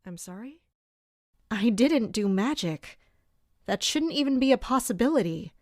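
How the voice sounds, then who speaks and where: A woman playing a dutiful, serious captain of an elite armored guard in an audio drama, speaking in a measured way. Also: on the high side, lively intonation, darkish tone, dry recording, clean studio sound. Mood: uncertain, reflective. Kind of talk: audio drama acting with first-person narration.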